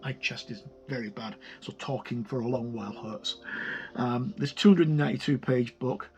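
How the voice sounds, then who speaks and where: A man giving a casual, halting review of a tabletop game product in an informal way. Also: mid-range voice, a little mellow. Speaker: video reviewer. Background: music.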